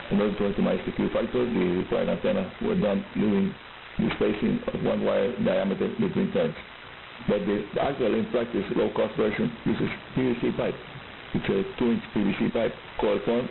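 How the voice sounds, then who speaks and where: A Cuban man, a native Spanish speaker, hosting a technical radio show in scripted English narration with a gravelly voice, heard over shortwave radio. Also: fairly low pitch. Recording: hissy, narrow-band shortwave radio reception.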